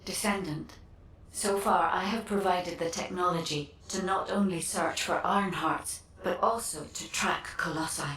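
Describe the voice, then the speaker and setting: A woman voicing a serene artificial intelligence guardian in a game, polite and measured. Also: on the low side, a bit bright, roomy sound, recorded from a distance, slight background noise, close to an everyday voice, rough recording. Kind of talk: scripted game dialogue.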